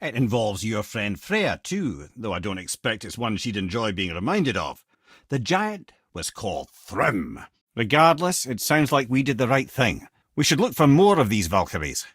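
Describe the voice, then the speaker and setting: A loquacious old Scottish sage, a talking head from a fantasy video game. He is wry and avuncular, spinning tales with a widely swinging, expressive pitch. The sound is dry, with no room around it. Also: normal speed, slightly rough, no room sound. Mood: storytelling. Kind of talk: video game character dialogue and storytelling.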